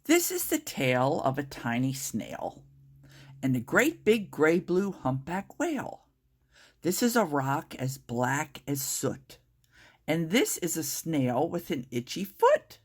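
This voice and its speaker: A woman reading a children's picture book aloud in an expressive, drawn-out storytelling manner. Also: sits low, breathy edge. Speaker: storytime reader.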